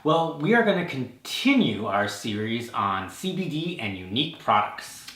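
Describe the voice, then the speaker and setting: Casting: enthusiastic male co-host, quick reactions, sounds recorded in a roomy, echoey space. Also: mid-range voice, big pitch swings, fairly bright tone. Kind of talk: talk show co-hosting.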